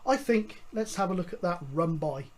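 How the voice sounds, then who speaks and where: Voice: mid-range voice, lively intonation, moderate pace. A host explaining things casually, in a hesitant, rambling, matter-of-fact way. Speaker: host of a hobby CB radio show.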